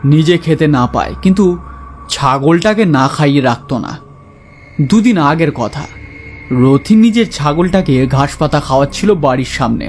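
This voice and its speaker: A man's voice: on the high side, animated, normal speed, darkish tone, faint music behind, lightly acted. A man playing a chatty, eager village gossip in a cartoon, speaking colloquially. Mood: confiding. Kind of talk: cartoon voice acting.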